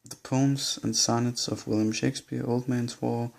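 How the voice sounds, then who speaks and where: A man's voice: medium pitch, level tone, normal speed, gravelly, a little mellow. A young man with a Danish accent, listing books in a casual bookshelf tour, hesitant and a little nervous. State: nervous and shaky. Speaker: vlogger.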